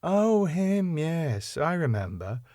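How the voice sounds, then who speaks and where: A sceptical sitcom wife, voiced by a man, sardonic and questioning. The lines swing widely in pitch, in a very dry, close recording. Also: big pitch swings, takes it slowly, warm tone, no echo. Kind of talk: sitcom script reading.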